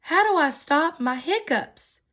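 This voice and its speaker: A woman voicing a young male kitten with the hiccups, asking in an eager, high voice in a storybook. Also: lively intonation, unhurried, fairly bright tone.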